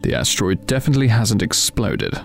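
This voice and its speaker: An actor playing an angry, desperate crash survivor in an audio drama, accusing and vehement. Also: male voice, lowish voice, big pitch swings, a little fast, vocal fry, soft background music. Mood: urgent. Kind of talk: full-cast audio drama acting.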